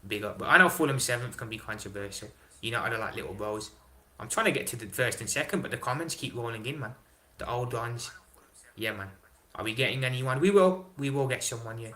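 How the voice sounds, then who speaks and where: A young man hosting a casual live stream, reading chat aloud and answering in an excitable way, swearing and dropping slang. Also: medium pitch, fairly quick. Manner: excitable, swears, London slang like innit and big up. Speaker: livestream host reading chat.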